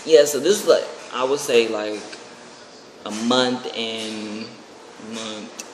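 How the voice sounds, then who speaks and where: A young adult vlogger talking casually to an online audience in a rambling, excitable way. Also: medium pitch, takes it slowly. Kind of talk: casual chat to camera. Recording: echoey room with clear background noise.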